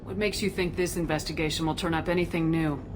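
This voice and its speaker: A woman's voice: sits low, steady tone, quick pace, in a large hall, background noise, natural voice. A woman playing a no-nonsense military commander in a video game, direct and assertive as she questions her crew. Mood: commanding.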